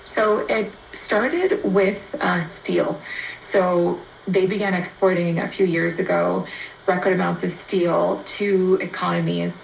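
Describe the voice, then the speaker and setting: Creaky-voiced woman reporter on distant radio. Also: lowish voice, flat delivery, slow pace, reverberant. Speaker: economics reporter. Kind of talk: explanatory radio interview. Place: played through a shortwave receiver speaker. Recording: AM radio playing in a room, distant, noisy.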